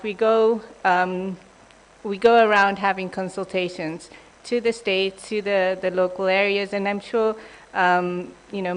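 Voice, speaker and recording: A woman from Japan, a program leader, giving a measured conference panel presentation with frequent pauses and restarts. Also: mid-range voice, rough recording. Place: conference hall.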